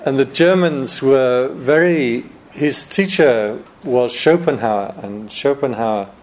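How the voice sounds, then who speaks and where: A man's voice: medium pitch, slow pace. A man, a Buddhist monk, giving a chatty Dharma talk to a room of students, joking and laughing often.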